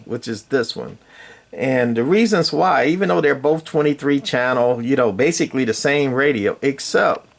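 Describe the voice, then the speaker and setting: Older man, gravelly fry, mid pitch, casual hobbyist walkthrough, folksy, digressive, dryly sarcastic. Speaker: radio hobbyist presenter. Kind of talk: casual rambling bench walkthrough and storytelling.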